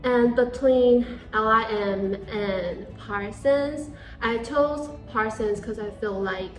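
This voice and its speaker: A woman's voice: a little high, slow pace, echoey room, over music, rough recording. A woman in her twenties from Taiwan, a non-native English speaker who hosts a casual Q&A and fills her talk with "like". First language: Mandarin Chinese. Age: 20s. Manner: casual, many "like" fillers, switches between Mandarin and English. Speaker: host. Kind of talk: casual Q&A chat.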